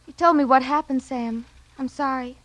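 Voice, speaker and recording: High-voiced, solicitous secretary. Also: female voice, moderate pace, no room sound. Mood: concerned. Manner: gentle, concerned, hesitant. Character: devoted, solicitous female detective's secretary. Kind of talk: 1940s radio acting.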